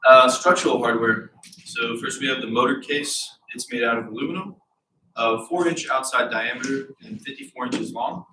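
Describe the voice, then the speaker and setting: A man's voice: medium pitch, normal speed, sharp tone. A young man with a congested voice presenting his team's rocket motor design in a classroom. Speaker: propulsion team lead. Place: lecture classroom. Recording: echoey room, distant, noisy.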